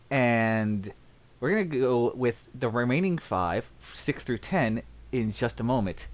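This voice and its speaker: A man hosting a livestream, introducing the show casually in short, measured phrases. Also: mid-range voice. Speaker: livestream host.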